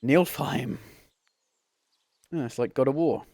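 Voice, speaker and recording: A man's voice: medium pitch, slow, gravelly, darkish tone, dry recording. A man casually commenting as he starts playing a game on stream, rambling and chuckling at himself. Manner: rambling, chuckling, self-deprecating. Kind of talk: casual let's-play commentary.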